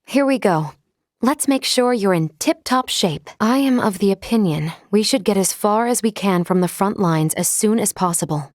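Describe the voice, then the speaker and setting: A woman playing a logical, polite, protective android first mate in a video game, speaking with formal, precise, measured diction. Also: mid-range voice, no echo, clean studio sound, lightly acted. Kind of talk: scripted video game character lines.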